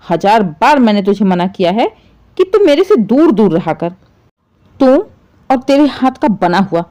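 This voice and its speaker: A bitter middle-aged mother-in-law in a domestic story, acted out by a woman narrator. She scolds and sneers with sarcastic contempt. Her pitch moves animatedly over a soft-edged, mellow tone.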